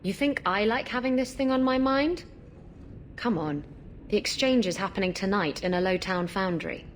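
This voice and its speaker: A woman's voice: mid-range voice, moderate pace, slight background noise, natural voice. A woman playing a brash, evasive female pirate rogue in a video game, with a glib, wheedling, flippant manner.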